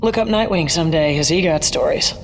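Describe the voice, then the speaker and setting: A woman's voice: low voice, fairly quick, slight background noise. A woman playing a weary, matter-of-fact older female police commissioner in an audio drama. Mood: wry.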